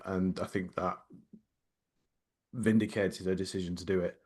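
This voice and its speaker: A middle-aged man with a deep, low voice co-hosts a relaxed podcast conversation. He talks haltingly, often doubling words and restarting his sentences as he gathers his thoughts. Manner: hesitant, many repeated words and restarts. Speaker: podcast co-host. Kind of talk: casual workplace podcast chat.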